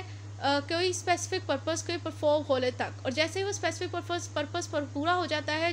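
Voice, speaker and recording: A woman's voice: high voice, a bit bright, a little hiss or hum. A woman giving a law lecture, explaining step by step and often stopping to correct herself. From Pakistan.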